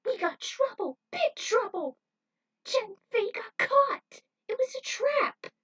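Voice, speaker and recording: A woman's voice: extremely high pitch, big pitch swings, slow, fairly bright tone, distant mic, dull audio, voice pushed up, heavily acted. A woman voicing an outraged, panicky young female bounty hunter in an amateur story reading, stammering and exclaiming. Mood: urgent alarm.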